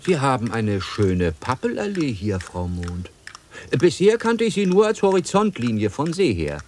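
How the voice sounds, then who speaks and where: A gallant, dreamy steamship captain in a radio drama. His mid-pitched voice swings very widely as he speaks with old-fashioned, slightly hesitant courtesy, over a faint noise. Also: wildly swooping pitch, moderate pace, darkish tone. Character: courtly, wistful male steamship captain with aching back. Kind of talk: German radio play acting.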